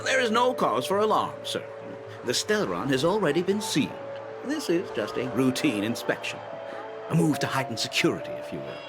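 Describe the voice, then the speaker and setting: A man's voice: mid-range voice, wildly swooping pitch, a little fast. An actor voicing a sly male official who trades rumors in a knowing, wry manner. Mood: polite. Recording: game cutscene over loud music.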